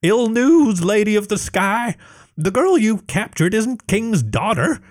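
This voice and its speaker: Male reader as a squeaky, cringing little female kobold, broken grammar, high and swooping, for a storybook. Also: sing-song, slow, dry recording, studio quality, exaggerated voice. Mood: timid, pleading. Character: shrill broken-speaking female kobold leader, timid.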